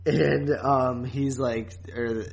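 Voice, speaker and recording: A man's voice: mid-range voice, fairly even intonation, very slow, creaky, a crowd in the background. Casual man podcasting. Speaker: podcast co-host. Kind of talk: casual chat reviewing a TV series.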